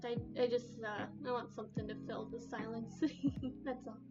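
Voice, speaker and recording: A soft-spoken young woman with a quiet voice, chatting hesitantly and giggling often on a casual live stream. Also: fairly high pitch, little pitch movement, moderate pace, highs cut off. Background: soft background music.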